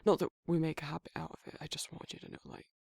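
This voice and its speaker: A man playing a protective, awkward but tender werewolf in an intimate ASMR roleplay, speaking in a hesitant, husky British murmur. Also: on the high side, level tone, dry recording, studio quality. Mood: earnest, reassuring. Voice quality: husky, gravelly, breathy. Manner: halting, hesitant, self-correcting, softly murmured.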